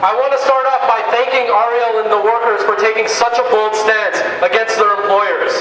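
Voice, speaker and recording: Male organizer from the Bay Area, very high voice, emphatic protest oratory over heavily echoing PA. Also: fairly even intonation, moderate pace. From San Francisco Bay Area. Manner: loud, emphatic, addressing the crowd. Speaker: community organizer speaking at a rally. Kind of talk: rousing political protest speech. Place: outdoor street rally. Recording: very echoey distant PA with background noise.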